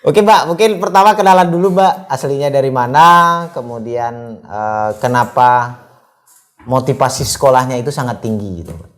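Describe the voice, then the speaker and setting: A man's voice: mid-range voice, moderate pace. Rambling young man hosting a podcast. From Aceh, Indonesia. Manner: friendly, rambling with fillers. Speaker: podcast host. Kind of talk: casual podcast interview.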